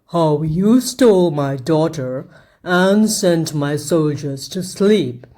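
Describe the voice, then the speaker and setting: A woman voicing a wicked king who is cold, commanding and menacing, and who speaks slowly and deliberately. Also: deep voice, lively intonation, mellow, pitched below the performer's own voice.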